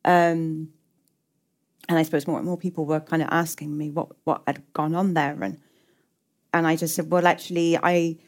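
A woman's voice: deep voice, level tone, moderate pace. A middle-aged woman with an English accent giving reflective interview answers as a writer, hesitant and often repeating and restarting her words. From England.

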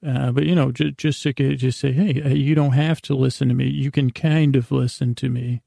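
Gravelly, mellow man rambling at bedtime. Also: mid-range voice, steady tone, no room sound, studio quality. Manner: halting and meandering, with stammered restarts and trailing tangents; self-deprecating, gently reassuring. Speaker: podcast host. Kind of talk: rambling, improvised bedtime podcast monologue.

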